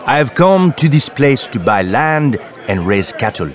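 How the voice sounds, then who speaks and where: A man's voice: medium pitch, big pitch swings. A man voicing a proud colonel and marquis, speaking English. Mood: courtly. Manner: slow, proud, precise. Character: haughty French colonel, a marquis, middle-aged.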